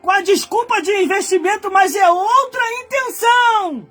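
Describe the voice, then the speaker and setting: High-pitched preaching man, shouting. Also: normal speed, soft background music. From Brazil. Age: 40s. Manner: swings from low muttering asides to high shouted preaching. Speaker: pastor. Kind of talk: fiery Pentecostal-style sermon.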